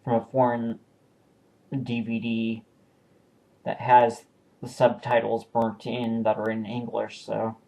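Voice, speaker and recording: A man talks in an easygoing, unscripted way about his collection of discs. His voice sits at an ordinary middle pitch. He pauses often and corrects himself mid-sentence. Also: completely flat delivery, vocal fry, dark tone, rough recording. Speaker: video collector showing new purchases. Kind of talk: casual home video review.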